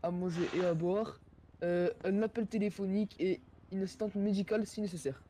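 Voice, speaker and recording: A man improvising as a police officer in an online role-play game, speaking in a halting, formal way. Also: high voice, fairly even intonation, mellow, slight background noise.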